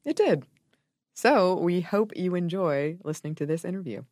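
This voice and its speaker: A woman's voice: lowish voice, lively intonation, normal speed, a little mellow, no room sound, faint music behind. A woman co-hosting a podcast in casual chat, with playful dry humor. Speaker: podcast co-host.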